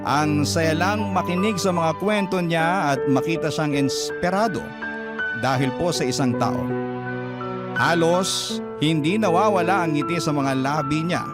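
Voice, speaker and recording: An actress playing a middle-aged widowed mother who narrates her son's romance in a radio drama, speaking warmly to the host. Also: low voice, a little slow. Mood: fond, reminiscing. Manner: heartfelt, addresses the host directly. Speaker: letter sender narrating her story. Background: loud background music.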